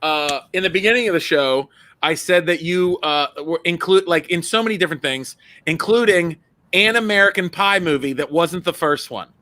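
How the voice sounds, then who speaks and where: A male comedian hosting a casual live-stream chat, animated and laughing often as he tells stories. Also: fairly high pitch. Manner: animated, laughs often, quick bursts of storytelling. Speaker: comedian host; father.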